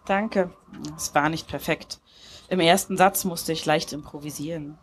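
A woman's voice: low-pitched, steady tone, unhurried, a little hiss or hum. A woman playing a Russian concert musician in a stage radio drama, startled and nostalgic about an old friend. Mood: reserved, modest. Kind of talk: live stage audio drama reading. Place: tented festival stage.

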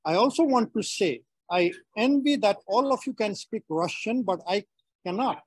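A man's voice: sits high, expressive, normal speed, breathy edge, no echo. Dry-sounding Nepali-accented man presenting. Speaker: webinar speaker. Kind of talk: prepared webinar talk.

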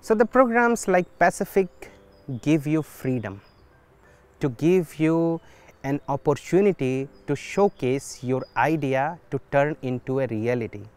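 A man, speaking in a thoughtful, measured way with pauses, recorded outdoors in a garden on a lapel mic. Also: fairly high pitch, animated, clear, resonant tone. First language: Hindi. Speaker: solar physics researcher. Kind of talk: documentary interview.

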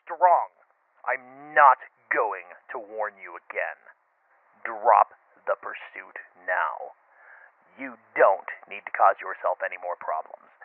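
Groźny anonimowy mężczyzna w telefonie, grany przez aktora, zimny i powolny, nagranie suche i blaszane jak z linii telefonicznej. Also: male voice, sits low, gravelly, dry recording, exaggerated voice. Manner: cold, threatening, slow deliberate warnings. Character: menacing anonymous male caller threatening by phone. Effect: phone line. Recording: tinny dry phone line.

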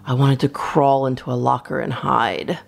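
A woman's voice: rumbling low, fairly even intonation, moderate pace, vocal fry. A woman reading a children's storybook aloud expressively, as a storytime reader. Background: guitar music.

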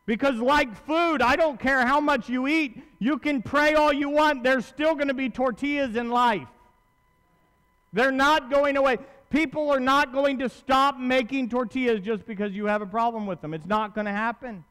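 A man's voice: extremely high pitch, fairly even intonation, normal speed, a bit bright, clean tone. A man in his thirties preaching a sermon in a conversational, emphatic way, repeating phrases and posing questions to his listeners. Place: large church auditorium, at pulpit on stage. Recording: long hall reverb from stage.

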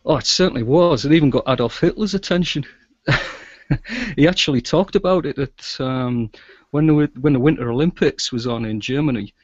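A man's voice: mid-range voice, a little fast, no room sound. Middle-aged male interview guest, conversational and chatty, dry but slightly muffled online-call audio. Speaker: guest, audio drama producer and sound engineer. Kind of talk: casual interview talk. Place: small indoor room with shelves, video call.

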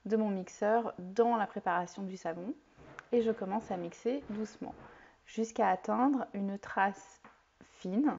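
A woman in her forties explaining soap making step by step in a home kitchen, with a clear, calm teaching manner and a few fillers. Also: medium pitch. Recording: slightly dull lo-fi sound.

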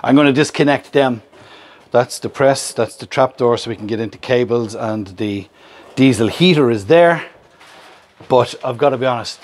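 Chatty Irish man vlogging a van build. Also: mid-range voice. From Ireland. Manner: chatty, upbeat, explains as he goes. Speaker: vlogger host. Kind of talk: casual vlog narration. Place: workshop yard and inside a van under build. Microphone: camera held at arm's length.

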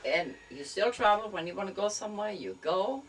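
Soft-spoken woman hosting a home chat. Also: mid-range voice, lively intonation, fairly quick, a little hiss or hum, poor audio. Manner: hesitant, restarts, soft backchannels. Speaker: host. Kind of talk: casual chat with a friend. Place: living room at home. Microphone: camera across a table.

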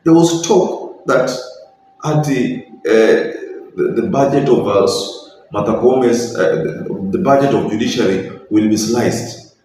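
A man's voice: medium pitch, expressive, slow pace, mellow, a little hiss or hum. Young Kenyan man in an echoey room. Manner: earnest, opinionated, mixes in Swahili phrases. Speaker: political commentator. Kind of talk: direct-to-camera political commentary. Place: small bedroom, indoors. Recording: very echoey room, slightly distant mic.

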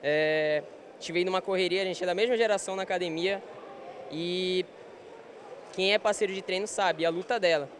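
A man's voice: sits high, moderate pace, slight background noise. A young man, a childhood friend, speaking casually and proudly in an interview in an airport hall. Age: young adult. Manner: casual, proud, fillers like "cara". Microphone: handheld reporter mic.

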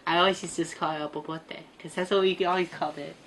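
Young woman, Cantonese first language, low voice, laid-back rambling storyteller, light room hum. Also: steady tone, racing, fairly bright tone. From United States. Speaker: interview guest. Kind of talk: casual chat. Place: small indoor room with computers.

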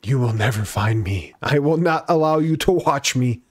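Man cracking deadpan jokes with friends. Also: medium pitch, normal speed. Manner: teasing, joking, silly deadpan. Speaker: friend on voice chat. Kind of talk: casual banter while gaming.

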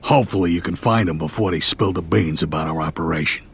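An actor playing a blunt, businesslike, profane mafia underboss with an American accent and a husky voice in a video game. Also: male voice, sits low, fast talker, exaggerated voice. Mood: grave, ordering.

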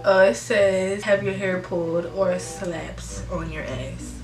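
A young woman of about twenty, a guest in a casual bedroom chat, talking bluntly and animatedly with loud laughs. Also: lowish voice, fairly even intonation, takes it slowly, over loud music. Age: young adult. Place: bedroom, indoors. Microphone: camera mic from a few feet away. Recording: echoey room, camera mic from a distance.

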